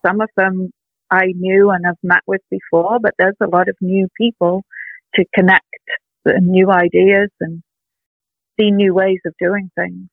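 An older woman artist talking in a casual interview, reflective and earnest, pausing with soft ums, heard over a phone call. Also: medium pitch, level tone, vocal fry, dry recording. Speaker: artist guest. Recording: tinny dry phone line.

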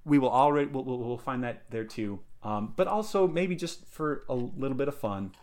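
A man co-hosting a podcast in casual chat, excitable and full of restarts as he explains. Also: medium pitch, normal speed. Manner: excitable, many restarts and fillers. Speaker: podcast co-host.

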